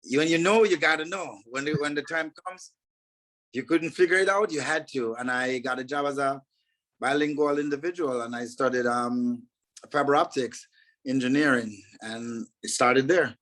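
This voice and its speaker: A man from Jamaica, a guest in an interview, telling his story in an earnest, measured way with frequent fillers. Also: mid-range voice, fairly bright tone, poor audio.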